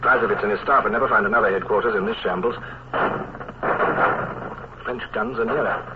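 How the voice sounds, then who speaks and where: A brisk naval commodore, played by a man, talks fast in a wry, clipped officer's way, with little patience for land tactics. His voice is on the low side. The sound is thin like an old phone line, with steady hiss behind it. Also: natural voice. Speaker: narrator and lead. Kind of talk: 1950s radio drama acting. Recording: tinny, hissy old radio broadcast.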